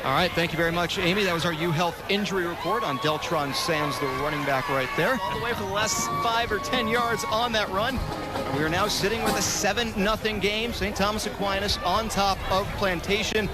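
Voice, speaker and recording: A man's voice: high voice, brisk. A man announcing a televised football game in a polished broadcast delivery, with live commentary and sponsor reads. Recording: loud music behind, outdoor urban sound.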